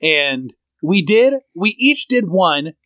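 A lively man co-hosting a comedy podcast, his voice sitting a bit high and bouncing around a lot as he explains and tells stories. He is close on the mic in a dry, dead room. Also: takes it slowly, no echo. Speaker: podcast co-host. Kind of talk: casual comedy podcast chat.

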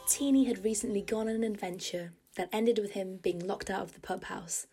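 A woman's voice: medium pitch, fairly even intonation, a little fast, dark tone, breathy edge. A woman reading the credits and narration of an audio drama in a plain, matter-of-fact manner. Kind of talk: scripted storybook narration. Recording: music underneath.

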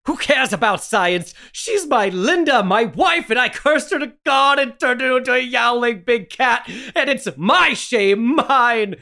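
A man's voice: very high voice, expressive, measured pace, vocal fry, few pauses, pushed and bright, full character voice. A man playing a frantic, guilt-ridden husband who dotes on his wife in a melodramatic, pleading horror radio play. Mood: angry, desperate. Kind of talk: 1930s horror radio play table read.

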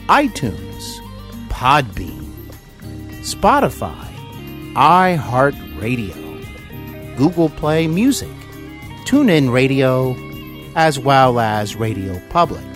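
A man in his forties hosting an interview podcast, speaking in an earnest, deliberate and effusive way. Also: medium pitch, a bit bright. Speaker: podcast host.

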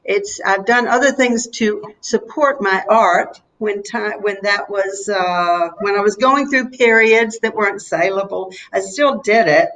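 A woman in her seventies from North Carolina with a Southern US accent, chatting as an interview guest in a reflective, halting, anecdotal way. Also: mid-range voice, fairly bright tone, rough recording. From North Carolina, USA. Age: 70s. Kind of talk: casual interview chat.

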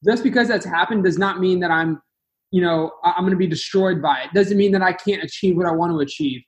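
A man's voice: high-pitched, a little fast, highs cut off. A man in his twenties, a podcast guest who speaks earnestly and often repeats a word as he starts a sentence. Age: 20s. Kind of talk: interview answers.

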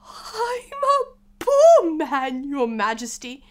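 A woman's voice: very high voice, sing-song, drawn-out words, a bit bright, voice pushed up, exaggerated voice. A woman voicing a timid, wretched man in a storybook reading, pleading and stammering in a tearful, trembling voice.

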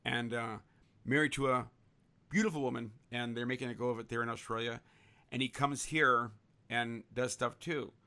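Bright gravelly-voiced male host. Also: medium pitch, fairly even intonation, normal speed. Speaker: podcast host. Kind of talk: casual interview hosting.

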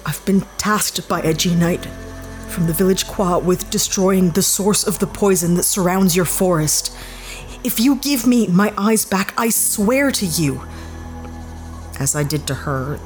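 An actress playing a sarcastic young heroine in an audio drama. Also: female voice, lowish voice, unhurried, a little mellow, close to an everyday voice. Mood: earnest. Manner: sarcastic, exasperated. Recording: studio drama with music bed.

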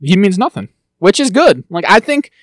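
A man co-hosting a podcast, chatting casually and quickly, with lively asides and quick mock voices. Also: high voice, no echo, clean studio sound. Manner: fast, animated, breaks into quick mock impressions. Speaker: podcast co-host. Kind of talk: casual chat about TV shows.

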